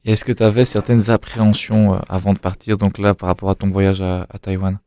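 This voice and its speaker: Man interviewer, low monotone voice, calm questions. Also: fairly quick, creaky, clean tone, no echo, clean studio sound.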